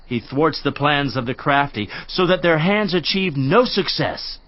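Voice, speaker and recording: A solemn, admonishing counselor. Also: male voice, a little high, measured pace, no echo, muffled recording. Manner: declamatory, lively preaching cadence. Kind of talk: dramatized audio Bible reading.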